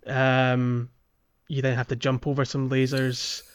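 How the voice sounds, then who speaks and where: A man hosting a podcast, talking through a video game in a casual, halting way as he thinks aloud. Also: medium pitch, monotone, smooth. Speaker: podcast host. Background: music.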